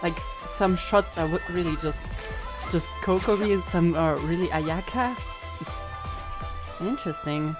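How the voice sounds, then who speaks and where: Young female streamer, lowish pitch, informal reaction style, tentative thinking-aloud delivery, some music underneath. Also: a little slow. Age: young adult. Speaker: reaction video host and streamer. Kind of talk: casual reaction commentary. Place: indoor room at home.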